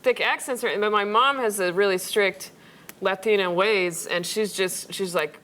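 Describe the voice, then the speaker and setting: A woman's voice: medium pitch, moderate pace, fairly bright tone. A woman comedian chatting on a panel in a giggly, bubbly way.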